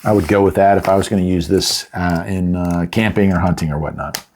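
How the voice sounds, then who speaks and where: A man's voice: low voice, steady tone, brisk, darkish tone, a little hiss or hum. A middle-aged man giving a casual, unscripted product review in a folksy, chatty, plain-spoken way. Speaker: host of a product review channel.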